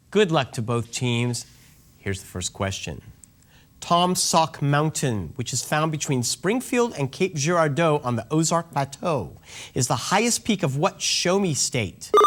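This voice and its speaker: A quiz show host reading out trivia questions in a clear, measured way and calling on players by name. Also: medium pitch, expressive, a bit bright.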